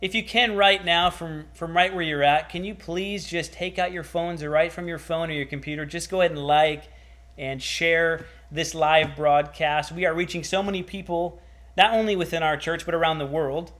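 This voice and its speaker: A man's voice: on the high side, fairly even intonation, normal speed, fairly bright tone. A man hosting a livestreamed church broadcast, upbeat and enthusiastic as he interviews a guest. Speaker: church host.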